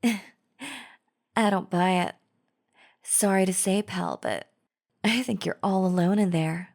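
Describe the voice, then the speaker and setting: A woman's voice: mid-range voice, slow, gravelly, darkish tone. A woman playing a chatty female zombie in an audio roleplay, casually coaxing the listener with false friendliness and a sly, wheedling drawl. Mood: wheedling, pushy. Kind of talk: scripted audio roleplay acting, addressing the listener.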